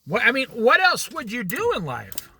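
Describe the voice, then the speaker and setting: A man's voice: very high-pitched, wildly swooping pitch, normal speed, bright, forceful tone, husky, no echo, clean studio sound. A man leading an informal Bible study, throwing out challenging rhetorical questions and jokey asides.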